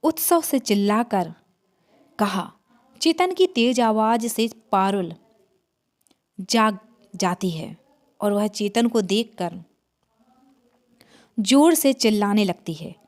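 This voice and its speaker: A woman's voice: medium pitch, animated, takes it slowly, pauses often. A woman reading a scripted horror story as its narrator.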